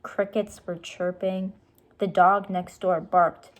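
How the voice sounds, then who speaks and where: A woman reading a storybook aloud to young children, pausing to explain things to them. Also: mid-range voice, level tone. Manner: explains words and asks questions for young listeners. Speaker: storybook reader.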